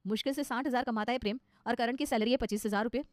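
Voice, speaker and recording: A woman's voice: fairly high pitch, racing, no room sound, close to an everyday voice. A woman voicing an earnest, dutiful young daughter-in-law in a cartoon story, with an outspoken manner. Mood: angry.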